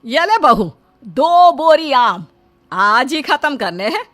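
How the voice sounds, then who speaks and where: A woman's voice: sits high, big pitch swings, takes it slowly, a bit bright, soft background music, full character voice. A woman playing a bossy, sarcastic middle-aged Indian mother-in-law in a cartoon, scolding theatrically. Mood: spiteful. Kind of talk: Hindi cartoon story dubbing.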